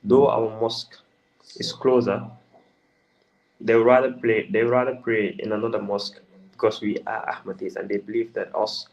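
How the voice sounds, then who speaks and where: A young man from the Gambia speaks as an interview guest. His voice sits low and carries a gravelly fry, and he talks haltingly, restarting his sentences and filling the pauses with fillers. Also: flat delivery, a little mellow, poor audio. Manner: hesitant, many restarts and fillers. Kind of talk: casual interview answers.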